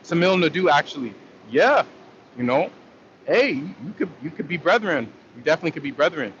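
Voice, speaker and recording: A man's voice: fairly high pitch, sing-song, a little fast, fairly bright tone. A man hosting a casual livestream, rambling in a loose, slangy way as he answers viewer comments, full of fillers and restarts. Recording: dull lo-fi stream audio with faint noise.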